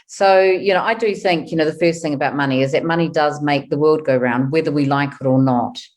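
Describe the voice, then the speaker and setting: A woman's voice: low-pitched, brisk, a little mellow. A woman in her fifties with a New Zealand accent, a wealth coach answering interview questions in a chatty way. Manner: chatty, thinks aloud with fillers.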